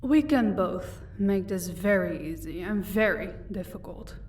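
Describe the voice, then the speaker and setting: A woman's voice: fairly low pitch, unhurried, darkish tone, far from the mic, lightly acted. Proud female vampire captor for audio drama, played by a woman: commanding, dry sarcasm, off-mic sound with faint noise. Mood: menacing interrogation. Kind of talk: audio roleplay acting.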